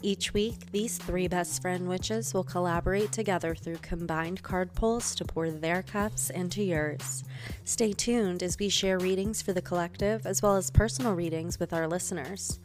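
A woman's voice: fairly low pitch, moderate pace. A woman reading a friendly, scripted podcast intro with an upbeat manner. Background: intro music.

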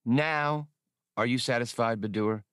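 A man's voice: medium pitch, unhurried, a bit bright, clean tone, no echo, studio quality, voice pushed up, lightly acted. A man voicing a bitter, impatient woman in an audiobook.

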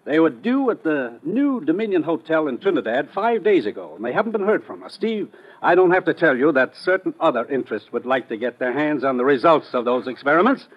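A man's voice: a little high, sing-song, fairly quick. A man acting an authoritative, dryly teasing government commissioner in a 1950s radio drama. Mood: urgent briefing. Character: brisk, authoritative government commissioner briefing his agent. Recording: dull vintage radio recording.

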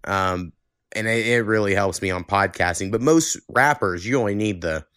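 A man's voice: fairly low pitch, moderate pace, a bit bright, clear, resonant tone, dry recording, clean studio sound. A man hosting a podcast, chatting casually in a blunt, offhandedly profane way. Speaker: podcast host.

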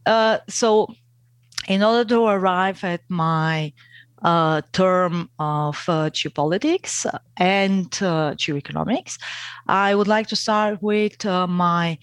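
A woman from Bulgaria, a researcher answering interview questions in careful academic phrasing, pausing often with fillers. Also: on the low side, clean tone, dry recording. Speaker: guest, geopolitics researcher.

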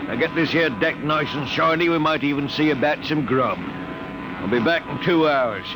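Actor as a jaunty spaceship rogue, noisy recording. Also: male voice, on the high side, moderate pace, close to an everyday voice. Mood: bossy. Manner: jaunty, sing-song, mocking. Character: wily, mocking male spaceship crewman, breezy press-ganger. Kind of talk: old-time radio drama acting. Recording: noisy old radio recording with loud hiss.

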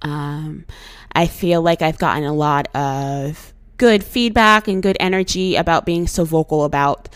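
Hoarse low-voiced woman podcast host. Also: unhurried, dry recording. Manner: earnest and outspoken, animated emphasis. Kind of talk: solo podcast talk.

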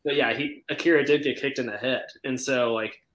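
A man's voice: mid-range voice, fairly even intonation, brisk, fairly bright tone, a little hiss or hum. Man, mid-range voice, hesitant with fillers, roomy echo. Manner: hesitant, many "um"s and restarts. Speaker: podcast co-host. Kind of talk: casual sports debate. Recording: echoey room.

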